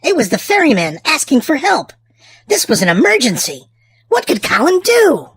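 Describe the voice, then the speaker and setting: A woman hosting and narrating a children's storybook aloud, with theatrical, drawn-out emphasis for small children. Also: high voice, huge pitch swings, slight background noise. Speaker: children's storybook reader and channel host. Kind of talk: expressive read-aloud narration for young children.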